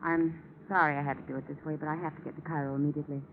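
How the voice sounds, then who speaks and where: Poised stowaway on tinny radio. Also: female voice, low voice, level tone, very fast. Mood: apologetic. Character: poised, saucy female stowaway in a hurry. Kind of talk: 1940s radio acting. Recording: tinny, hissy 1940s radio recording.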